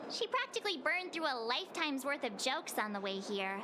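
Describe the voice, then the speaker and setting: Very high, perky girl adventurer. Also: fairly quick, background noise. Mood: chatty, concerned. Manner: chatty, expressive, girlish. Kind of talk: English anime-style game voice acting. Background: game music.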